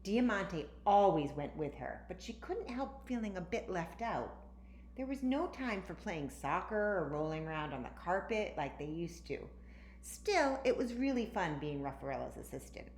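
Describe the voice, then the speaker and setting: A woman reading a children's story aloud with animated, emphatic narration. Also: fairly low pitch, moderate pace. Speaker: storytime reader. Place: indoor room, recorded at home. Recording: roomy, echoey, faint background noise.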